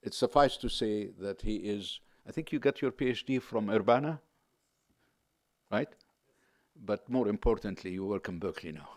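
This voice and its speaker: A man's voice: on the low side, normal speed, darkish tone, no room sound. A man hosting an event, briefly introducing the guest lecturer and speaking to him directly. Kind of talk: formal introduction.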